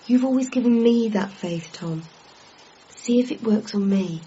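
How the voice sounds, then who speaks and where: A patient, devoted girlfriend played by a woman in a radio comedy drama. Her voice is high and she coaxes and reassures, over clear noisy ambience in a slightly dull recording. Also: a little slow, dark tone, close to an everyday voice. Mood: gentle, reassuring. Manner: reassuring, pleading. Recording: muffled, noisy ambience behind.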